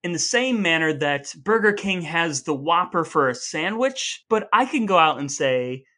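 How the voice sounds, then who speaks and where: A man's voice: a little high, expressive, moderate pace, bright, forceful tone. A man co-hosting a podcast in a conversational, lightly scripted style, with a wry, mock-formal and wordy way of talking. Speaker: podcast co-host.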